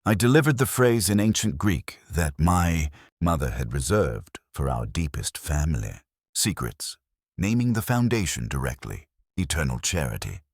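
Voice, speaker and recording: A man with a very deep voice narrates a scripted drama in a measured, solemn way. His pitch moves expressively from phrase to phrase, and the sound is dry and close, free of room reflections. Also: sing-song, slightly rough, dry recording.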